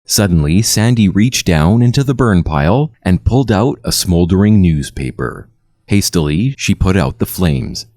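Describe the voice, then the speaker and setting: Casting: male horror storyteller, low register with animated melody, measured scripted read, very dry recording. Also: no room sound. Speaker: storyteller, narrator.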